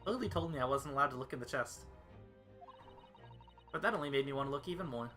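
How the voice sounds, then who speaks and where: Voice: mid-range voice, quick pace, fairly bright tone, soft background music, close to an everyday voice. A performer voicing a precocious, cheerful young girl in a fan dub of a video game, chatty and innocent. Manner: chatty, childlike, innocent phrasing. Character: precocious, cheerful young girl storyteller, proud of her father.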